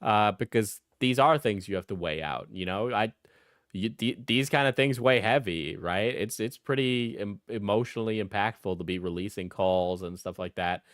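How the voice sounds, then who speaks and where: A man's voice: on the low side, moderate pace, fairly bright tone, no echo, clean studio sound. A man giving casual, opinionated commentary in a blunt, mocking way, swearing freely and often checking his points with "right?". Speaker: commentator reacting to an interview.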